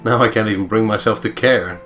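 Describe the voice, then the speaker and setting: A man's voice: fairly low pitch, brisk, fairly bright tone, faint music behind. A man doing a joking let's play, narrating a visual novel aloud in a playful, theatrical, sweary way. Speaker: let's player reading the game aloud.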